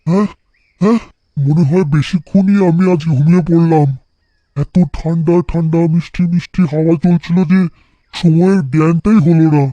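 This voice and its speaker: A man's voice: fairly high pitch, steady tone, normal speed, mellow, dry recording, lo-fi sound, close to an everyday voice. A man playing a poor, weary, worried bangle seller who sighs plaintively in a cartoon folktale. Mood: drowsy. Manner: plaintive, sighing. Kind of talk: animated folktale voice acting.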